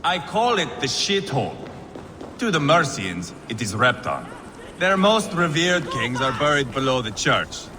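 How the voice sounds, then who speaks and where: Brash, sharp-voiced Viking warrior. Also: male voice, mid-range voice, big pitch swings, unhurried, slight background noise. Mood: gleeful, mocking. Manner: sneering, foul-mouthed swagger. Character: brash, bloodthirsty Viking warrior, crude and mocking.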